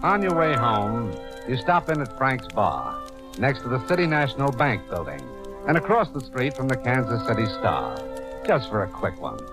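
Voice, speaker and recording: A man's voice: medium pitch, very animated, normal speed. A man acting as a hard-boiled storyteller, narrating a vintage radio drama in the second person. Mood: measured, suspenseful. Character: hard-boiled storyteller addressing a police reporter. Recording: old radio recording with background music. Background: dramatic background music.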